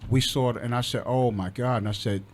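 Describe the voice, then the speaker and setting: A man's voice: medium pitch, steady tone, a little fast. A man hosting a casual studio conversation, talking emphatically and repeating himself to make his points.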